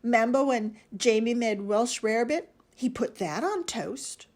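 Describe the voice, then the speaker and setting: A woman's voice: on the high side, a little slow, a little mellow. A woman voicing a sweet sugar bowl. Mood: soothing. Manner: sweet, soothing.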